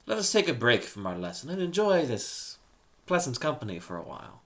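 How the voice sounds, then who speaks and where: Pompous storybook emperor, male narrator performing, imperious and scoffing, with big swoops in pitch. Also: big pitch swings, brisk, fairly bright tone. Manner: imperious, dismissive. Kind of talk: humorous storybook dialogue.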